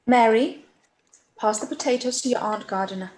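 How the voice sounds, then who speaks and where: A woman's voice: on the high side, moderate pace, darkish tone, natural voice, rough recording. A woman playing a sensible, earnest young woman in a period radio play. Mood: weary patience. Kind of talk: live-read radio play acting of period drama.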